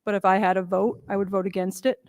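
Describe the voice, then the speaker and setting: A woman's voice: medium pitch, level tone, fast, a little mellow, dry recording. A woman and parent speaking earnestly and firmly at a school committee meeting. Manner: earnest, personal, firm.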